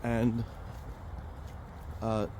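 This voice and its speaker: A man chats his way through a casual outdoor vlog, wandering from point to point with plenty of fillers and restarts. His voice is mellow and warm, and a faint hum of outdoor noise and traffic sits under it. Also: lowish voice, monotone, extremely slow. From Romania. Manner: rambling, chatty, many fillers and restarts. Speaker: vlog host, financial planner. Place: outdoors in a park near a highway.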